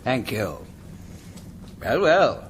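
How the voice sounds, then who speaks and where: Swooping, slow-drawling outlaw lord. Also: male voice, medium pitch, sing-song, a little hiss or hum. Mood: suave menace. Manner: sardonic, drawling, theatrical. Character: mocking, menacing outlaw lord, suavely amused. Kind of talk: radio drama acting.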